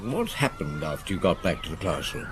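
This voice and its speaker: A man's voice: sits low, very animated, brisk, background noise. A man playing a genial, shrewd middle-aged detective in a radio drama, questioning in an avuncular, probing way. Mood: gentle, probing.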